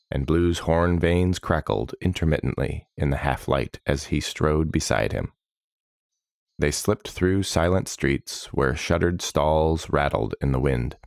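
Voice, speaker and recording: Very deep, gravelly male fantasy narrator. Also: dry recording, studio quality. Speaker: storyteller, narrator. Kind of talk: scripted fantasy audiobook narration.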